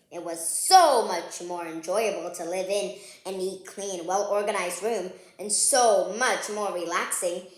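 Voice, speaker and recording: A woman's voice: mid-range voice, a bit bright. A woman reading a children's storybook aloud and chatting informally, speaking directly to young viewers. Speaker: host of a children's read-aloud channel. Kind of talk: storybook read-aloud and casual chat to viewers. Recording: echoey room.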